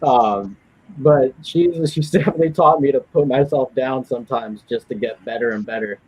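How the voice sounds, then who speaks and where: A man's voice: mid-range voice, normal speed, slightly rough, no room sound, low-quality recording. A young man, a college student and writer, chatting casually and self-deprecatingly on a video call, laughing often. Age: young adult. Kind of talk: casual chat.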